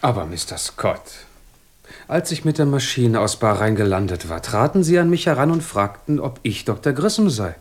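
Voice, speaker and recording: Cultured scholar with animated intonation. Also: male voice, mid-range voice, moderate pace. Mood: earnest, reproachful. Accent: standard German. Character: cultured, courteous male scholar giving evidence as victim. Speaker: actor playing a witness. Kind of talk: German radio crime drama acting.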